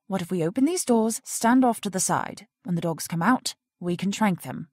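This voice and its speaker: A woman's voice: medium pitch, expressive, normal speed, a little mellow, no echo, clean studio sound, close to an everyday voice. An actress in an audio drama playing an indignant, strong-willed woman who works at a research facility.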